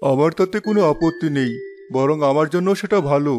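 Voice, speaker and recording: A man voicing a plain-spoken family man in an animated moral story. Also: fairly high pitch, brisk, faint music behind, close to an everyday voice. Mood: agreeable.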